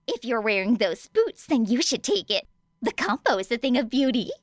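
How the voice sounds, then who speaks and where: A woman's voice: fairly high pitch, very animated, normal speed, no room sound, studio quality, voice pushed up. A woman voicing an eccentric, gleeful teenage girl inventor in an audiobook, breezy and teasing. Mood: breezy, eager.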